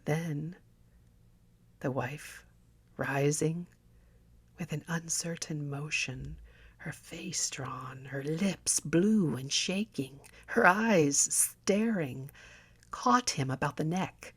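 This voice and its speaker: A woman narrating a story as an audiobook reader, in a theatrical, deliberate and expressive manner. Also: deep voice, slightly rough. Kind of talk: dramatic scripted story narration.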